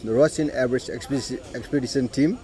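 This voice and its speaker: A middle-aged man with a Nepali accent, telling his life story in an unhurried way, with frequent "you know" and throat clearing. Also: medium pitch, warm tone. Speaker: interviewee. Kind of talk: personal life story told in conversation.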